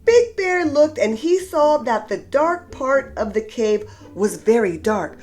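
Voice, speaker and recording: A woman reading a children's storybook aloud with deliberate, expressive storytelling emphasis. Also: fairly high pitch, faint music behind. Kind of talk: expressive read-aloud narration for young children.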